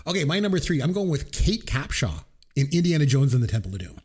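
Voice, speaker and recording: A man's voice: fairly high pitch, big pitch swings, fast, darkish tone, slightly rough. A man co-hosting a podcast in casual chat, giving enthusiastic, emphatic opinions. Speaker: podcast co-host.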